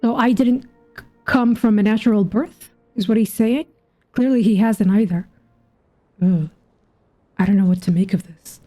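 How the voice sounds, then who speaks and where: A woman's voice: medium pitch, normal speed, long pauses, mellow, soft background music. A woman streamer giving casual game commentary, thinking aloud in a musing, questioning, informally sweary way. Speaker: gaming streamer reacting to game story.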